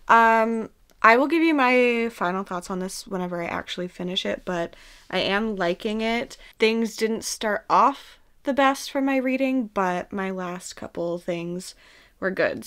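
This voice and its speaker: A hoarse, exhausted woman vlogging casually at home late at night, talking in a tired, rambling half-whisper. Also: mid-range voice, measured pace, a bit bright. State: exhausted from no sleep. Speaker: book vlogger.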